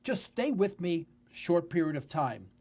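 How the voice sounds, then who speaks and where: A man's voice: a little high, very animated, a little slow, a little mellow. A man in his sixties with a New York accent, hosting talk radio in a conversational, storytelling monologue with emphatic phrasing. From New York City. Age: 60s.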